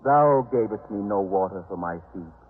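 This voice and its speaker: An actor playing a calm, authoritative prophet and teacher in a 1950s radio drama, speaking solemnly in archaic diction. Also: male voice, lowish voice, moderate pace, lightly acted. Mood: solemn teaching. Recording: noisy, muffled phone-like 1950s radio recording.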